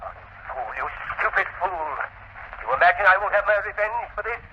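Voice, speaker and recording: An actor playing an arrogant, vengeful professor in a theatrical, sneering 1930s radio drama style. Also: male voice, high voice, animated, fairly quick. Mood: enraged. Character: vengeful, arrogant male professor, a scheming megalomaniac villain. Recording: dull, noisy 1930s radio recording.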